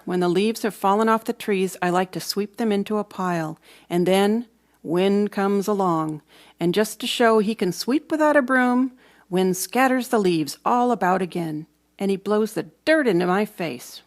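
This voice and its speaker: A woman reading a storybook aloud for children, with dramatic emphasis and hushed moments. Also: medium pitch, moderate pace. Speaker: storybook reader. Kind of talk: expressive children's read-aloud narration.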